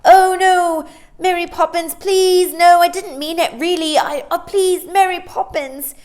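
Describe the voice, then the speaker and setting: A woman's voice: extremely high pitch, steady tone, measured pace, darkish tone, pitched above the performer's own voice. A woman voicing an impatient young boy from a children's storybook, whiny and urgent as he speaks. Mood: pleading.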